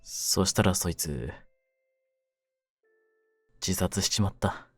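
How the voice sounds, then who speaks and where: A man playing a cynical, wounded young mafia kidnapper in an audio drama, with a low voice and rough, brooding delivery. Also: slow pace, dry recording, faint music behind, full character voice. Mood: grieving, bitter. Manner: rough masculine slang, taunting, brooding delivery. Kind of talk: Japanese situation-voice audio drama, ASMR.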